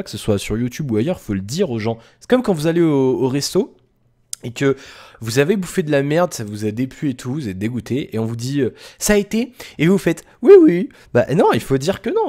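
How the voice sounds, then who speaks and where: A tired young man rambles through his daily video update, full of fillers, sighs and casual slang. His voice has a mellow, darker tone at an ordinary pitch. He talks loosely, as if just thinking aloud. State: tired, yawning. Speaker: YouTuber giving daily tech news and channel update. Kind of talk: casual vlog chat.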